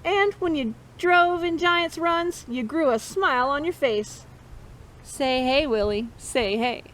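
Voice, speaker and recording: A woman's voice: high-pitched, slow pace, fairly bright tone. A woman reading a picture book aloud to children as storytime host, encouraging listeners and chanting along with them. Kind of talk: interactive read-aloud for children.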